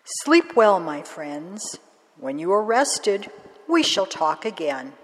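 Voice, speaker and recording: A woman voicing a bent little old crone who speaks gently and murmurs kindly. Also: on the low side, big pitch swings, takes it slowly, big-room sound, poor audio. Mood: gentle, wise.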